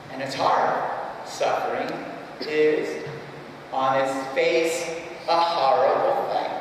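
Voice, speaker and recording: Echoey high-voiced man preaching. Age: 50s. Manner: emphatic, halting, repeats key phrases for weight; poses rhetorical questions to the audience. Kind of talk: live keynote address, storytelling religious teaching. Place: large reverberant hall before an audience. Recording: echoey hall, distant mic, faint noise.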